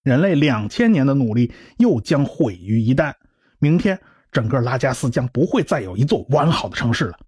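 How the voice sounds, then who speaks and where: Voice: medium pitch, very animated, moderate pace, darkish tone, husky, no room sound, clean studio sound. An actor in a radio drama playing an intense, alarmed expert who explains a coming doom with emphatic rhetorical questions. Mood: grave, foreboding.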